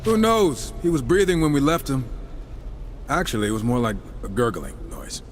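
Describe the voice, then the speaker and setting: A man's voice: mid-range voice, big pitch swings, normal speed, slight background noise, close to an everyday voice. An actor playing a wisecracking, irreverent male warrior in a video game, sardonic, slangy and combative. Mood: wry sarcasm. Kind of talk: video game dramatic acting. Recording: music under the voice.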